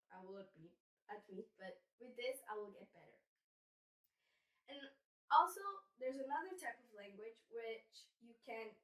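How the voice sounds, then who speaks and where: A woman's voice: on the high side, pushed and bright. A teenage female student who speaks English as a third language, chatting informally in a casual vlog of tips, with plenty of filler words like "basically". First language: not English, which is a third language. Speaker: vlog host. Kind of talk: casual vlog giving study app tips. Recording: roomy echo, distant microphone.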